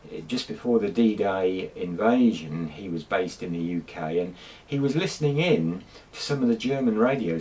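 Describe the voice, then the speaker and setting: Man, middle-aged, lowish voice, rambling, slightly distant mic. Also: mellow. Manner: rambling, many ums and restarts. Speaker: tape recorder collector. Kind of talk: casual chat to camera.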